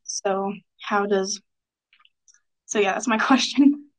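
A woman's voice: mid-range voice, slow pace, low-quality recording. A woman leading a student book discussion, summarizing chapters and asking questions in a hesitant way with many fillers.

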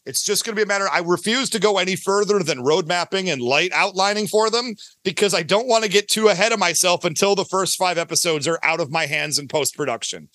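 A man's voice: sits high, quick pace, hardly any pauses, bright, forceful tone, dry recording. A man talking casually as an interview guest about his creative work, talkative and often correcting himself mid-thought. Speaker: guest, audio drama creator.

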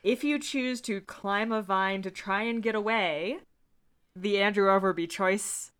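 A woman's voice: medium pitch, measured pace, fairly bright tone, clear, resonant tone. Wry woman recapping a book. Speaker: podcast host. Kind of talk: casual book discussion with plot recap and read-out passages.

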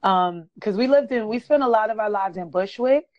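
A woman's voice: mid-range voice, moderate pace, no room sound. A woman from Brooklyn, a chatty guest on a podcast who laughs often and says "like" a lot. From Brooklyn, New York. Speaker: guest, blogger. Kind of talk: casual podcast interview. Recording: dull, dry lo-fi sound.

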